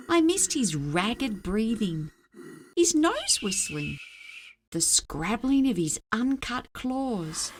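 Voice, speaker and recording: A woman narrating a children's story aloud in a dramatic, expressive way. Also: high voice, a little hiss or hum. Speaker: storybook reader.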